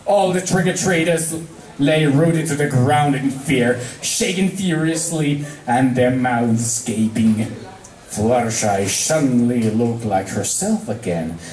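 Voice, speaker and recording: A man's voice: mid-range voice, lively intonation, slow, darkish tone. A man reading a story aloud as an amateur, stumbling over words, in a roomy recording away from the microphone. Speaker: fan fiction reader. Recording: roomy echo, distant from microphone.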